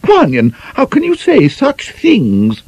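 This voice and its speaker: A man's voice: extremely high pitch, wildly swooping pitch, slow, darkish tone, breathy edge, no echo, pitched above the performer's own voice, full character voice, low-quality recording. A man voicing a prim young woman of twenty-four who protests indignantly at her friend's gossip.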